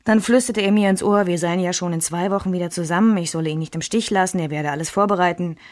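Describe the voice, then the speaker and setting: A woman's voice: on the low side, quick pace, a little mellow, lightly acted. An actress playing a wry, reflective woman recalling a love triangle, narrating in the first person in a German radio drama. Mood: tender.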